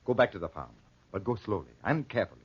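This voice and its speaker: A proud, commanding patriarch with a husky voice and sweeping, theatrical intonation. The delivery is classic 1940s radio drama, trusting yet gruff, heard through a dull vintage recording. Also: male voice, fairly low pitch, sing-song, quick pace, exaggerated voice. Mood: earnest.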